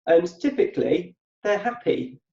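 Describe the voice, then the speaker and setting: A man's voice: high voice, big pitch swings, measured pace, creaky, darkish tone. A man co-hosting a podcast, explaining thoughtfully and repeating words as he thinks aloud. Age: adult with a past business. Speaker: podcast co-host. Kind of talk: reflective conversational explanation. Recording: muffled echoey room.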